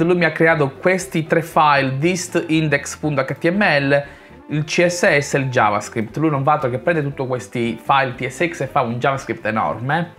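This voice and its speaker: A man's voice: on the high side, fairly bright tone. A man in his thirties giving a casual, informal coding tutorial as a channel host, explaining step by step. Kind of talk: casual screencast tutorial narration. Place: small home room, indoors. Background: faint background music.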